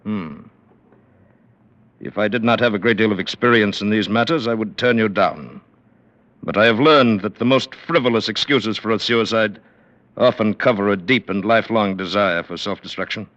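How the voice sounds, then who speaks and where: A man acts a stern gentleman presiding over a sinister club, with a low voice and formal, imperious delivery. It is old-time radio drama with coolly menacing poise, on a dull vintage recording. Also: normal speed, close to an everyday voice. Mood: stern, probing.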